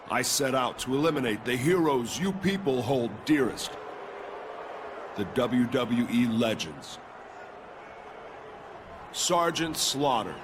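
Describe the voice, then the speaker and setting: A man's voice: medium pitch, background noise. A man voicing an arrogant, menacing male pro wrestler giving a boastful promo in a video game. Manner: slow, deliberate, taunting delivery.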